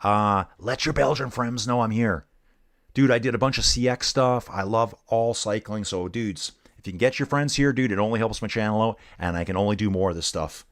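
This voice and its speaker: A man's voice: lowish voice, fairly quick. A middle-aged man casually commenting on a bike race live and chatting with viewers, laid-back and saying "dude" a lot. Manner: laid-back, says "dude" often, reads chat aloud.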